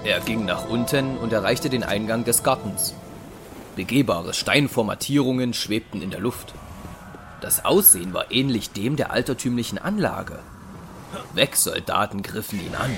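A man's voice: fairly low pitch, moderate pace. A man narrating a scripted sci-fi audio drama as its storyteller. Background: music.

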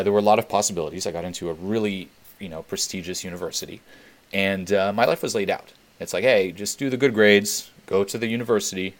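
A young man from Switzerland hosting a webinar Q&A, talking candidly and swearing freely as he repeats points for emphasis. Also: deep voice. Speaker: webinar host. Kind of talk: casual Q&A talk.

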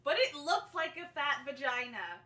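A woman's voice: high-pitched, big pitch swings, normal speed, over a noisy crowd. A woman co-hosting a casual podcast, bantering in a blunt, teasing way with crude humour. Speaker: podcast co-host.

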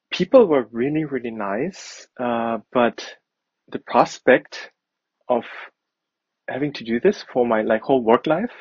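Husky, thoughtful man from Germany. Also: medium pitch, no room sound, dull audio. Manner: thoughtful, hesitant with fillers and restarts. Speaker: architect guest. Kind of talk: interview answers.

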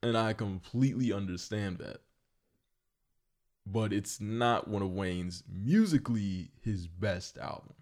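A man with a lowish voice talks through his opinions in a casual conversation. He builds his points step by step, measured and explanatory. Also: a little mellow. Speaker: podcast co-host. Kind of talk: casual music debate.

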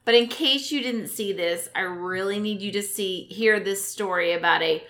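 A woman co-hosting a casual podcast, telling a news story in a lively way and building suspense with questions. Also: medium pitch, normal speed.

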